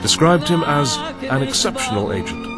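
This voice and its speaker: Radio obituary reader: formal, measured, strongly inflected, prominent music underneath. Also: male voice, fairly high pitch, wildly swooping pitch. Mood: solemn, formal. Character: male broadcaster reading a formal obituary. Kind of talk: formal scripted news reading.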